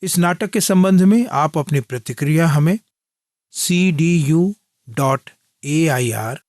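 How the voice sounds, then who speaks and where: A man announcing on radio, reading a scripted closing in a formal, measured manner. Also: fairly high pitch, animated, darkish tone, dry recording, studio quality.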